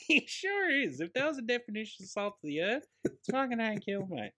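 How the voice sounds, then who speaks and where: A man's voice: high-pitched, sing-song, moderate pace, sharp tone. An Australian man co-hosting a casual film podcast, talking in a blokey, emphatic way with some swearing. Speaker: podcast co-host.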